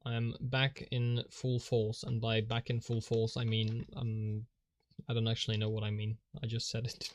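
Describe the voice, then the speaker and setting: A man's voice: medium pitch, monotone, moderate pace. A man whose first language is Polish, rambling and self-mocking as he thinks aloud while drawing on a live stream, chuckling often.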